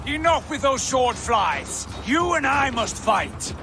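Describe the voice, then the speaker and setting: An actor playing a boisterous, battle-hungry Viking warrior man in a video game, theatrical and booming. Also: sing-song, takes it slowly, background noise, heavily acted. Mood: eager. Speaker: game character. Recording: game audio with loud music.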